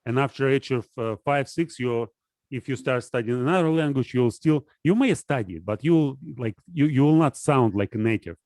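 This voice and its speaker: A man talks through an idea in casual conversation, stopping and restarting often as he searches for words. His voice sits at an ordinary middle pitch. The sound is close and dry, with no room echo. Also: darkish tone. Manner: halting, full of restarts and fillers while explaining.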